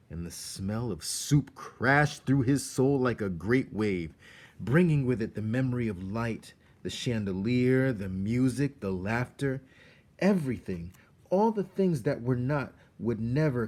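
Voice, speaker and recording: A man reads a children's novel aloud as its narrator. His voice is fairly low and very animated in pitch. He takes his time, with measured phrasing and dramatic pauses. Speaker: storybook reader.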